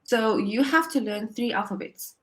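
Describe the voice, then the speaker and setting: A woman's voice: on the high side, moderate pace, poor audio. A woman tutoring English over a video call, upbeat and encouraging, often laughing as she explains. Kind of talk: casual conversation lesson.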